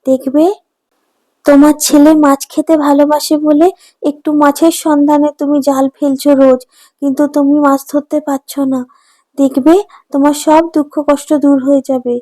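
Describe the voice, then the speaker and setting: A woman's voice: sits high, little pitch movement, moderate pace, warm tone, dry recording, close to an everyday voice. A woman voicing a kindly water fairy in a cartoon, soothing and solemn as she gives blessings. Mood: reassuring. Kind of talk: cartoon voice acting.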